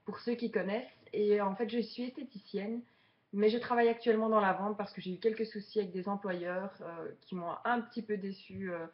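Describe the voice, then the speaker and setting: A woman's voice: mid-range voice, steady tone, fairly quick, dull audio. A woman of twenty-seven from Belgium, chatting casually to viewers as a beginner vlogger during an unboxing. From Belgium, Charleroi region.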